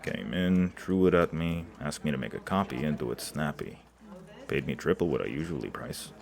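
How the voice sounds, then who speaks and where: An actor playing a blunt, sarcastic, folksy blind key maker with a gravelly voice in an audio drama. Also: male voice, extremely low pitch, animated, moderate pace, darkish tone, exaggerated voice. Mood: gruff, sarcastic. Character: gruff, sardonic blind male key maker, chatty and blunt.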